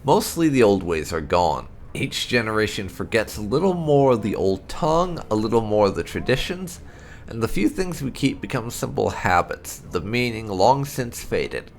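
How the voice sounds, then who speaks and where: Gravelly man narrating fantasy lore, lively intonation. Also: on the low side, normal speed, slight background noise. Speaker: gameplay channel host. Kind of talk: dramatic read-aloud narration of fantasy lore. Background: music.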